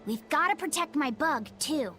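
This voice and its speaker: A woman's voice: high voice, lively intonation, moderate pace, loud music behind the voice. A woman playing an eager, innocent youngster in a fantasy game, speaking earnestly.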